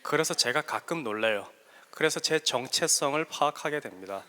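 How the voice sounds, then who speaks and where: Korean guy w/ a regional dialect peeking thru, bright voice, joking mentor talk to students on a handheld mic, lots of "uh"s, echoey hall. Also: mid-range voice, expressive, moderate pace. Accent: Korean regional dialect under learned Seoul speech. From South Korea. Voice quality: joking, self-deprecating, with frequent hesitant fillers. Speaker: guest mentor lecturer. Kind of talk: live lecture to students. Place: large hall at a school workshop. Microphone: handheld microphone.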